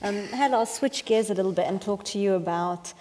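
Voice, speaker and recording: A woman's voice: mid-range voice, a little fast, a little mellow, slight background noise. A woman moderating a conference panel and asking questions in a hesitant, searching way. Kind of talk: interviewing on stage. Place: conference hall. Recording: long hall reverb.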